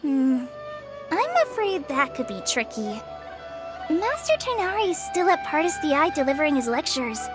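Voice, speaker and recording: Earnest, high-voiced young ranger girl. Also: very animated, moderate pace, music in the background, low-quality recording. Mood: helpful. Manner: hesitant, polite. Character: earnest, shy teenage girl forest ranger, modest and helpful. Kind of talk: video game cutscene acting.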